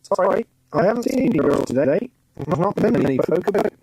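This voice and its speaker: Plain caravan park bloke, voiced by a guy, matter-of-fact, a bit husky. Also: high-pitched, animated, fairly quick, mellow, no echo, pitched above the performer's own voice, heavily acted, low-quality recording. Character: plain-spoken grown man at a caravan park.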